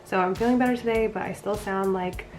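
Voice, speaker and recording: A woman's voice: mid-range voice, fairly even intonation, fast, a little mellow, faint music behind. A young woman vlogging a casual pregnancy update, sounding nasal from a cold, chatty and rambling. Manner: chatty, rambling, frequent fillers.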